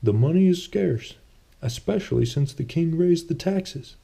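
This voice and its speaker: A man acts out a well-off older gentleman from a fantasy novel. His voice is lifted well above the narration, and he speaks earnestly and piously, as if trying to persuade. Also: on the high side, lively intonation, measured pace, creaky, husky, pitched above the performer's own voice, exaggerated voice.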